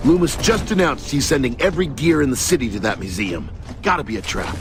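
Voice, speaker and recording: A man's voice: medium pitch, very animated, fairly quick. A man playing a battle-hardened, resolute young squad leader in a video game, giving orders and narrating, with music behind him. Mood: grim, reflective.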